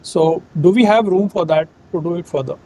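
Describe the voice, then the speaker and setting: A man with an Indian accent asking questions as an analyst on a conference call, halting and rephrasing as he goes. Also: high voice, dark tone. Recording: faint noise on conference call.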